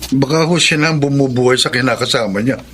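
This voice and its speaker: An actor playing a stern, reproachful elder brother and concerned uncle in a Filipino radio drama, with a Filipino accent. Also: male voice, medium pitch, quick pace, fairly bright tone, slight background noise, lightly acted. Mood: disapproving.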